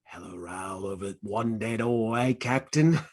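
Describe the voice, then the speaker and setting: A man playing a rough, murderous street criminal in a stage play reading, husky, speaking clipped working-class slang. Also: on the low side, unhurried, darkish tone. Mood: sardonic.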